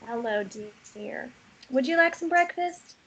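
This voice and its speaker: A woman's voice: high-pitched, lively intonation, measured pace, gravelly, a bit bright, breathy edge, full character voice. A woman acting a stern, disapproving mother of a teenager at a screenplay table read. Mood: polite. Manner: scolding, disapproving. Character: stern, nagging middle-aged mother of teenager. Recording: dull lo-fi microphone.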